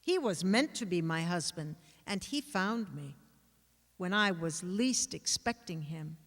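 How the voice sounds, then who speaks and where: A woman giving a dramatic literary reading, with measured, expressive and deliberate phrasing. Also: fairly low pitch. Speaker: actor reading aloud. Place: large indoor hall at a live reading. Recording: echoey hall with long reverb.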